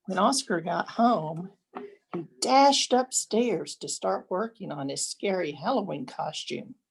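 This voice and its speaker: An older woman, a grandmother, reading a children's picture book aloud expressively, with deliberate dramatic pauses. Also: on the low side, gravelly, darkish tone, breathy edge, rough recording.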